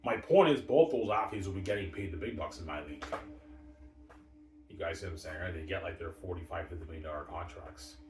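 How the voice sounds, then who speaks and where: A man with a deep voice rambles casually and boastfully, circling back over the same phrases. He starts phrases with emphatic bursts and lets them trail off. The recording is echoey and a little distant from the mic, with faint noise. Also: fast. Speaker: vlogger. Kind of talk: rambling casual hockey talk.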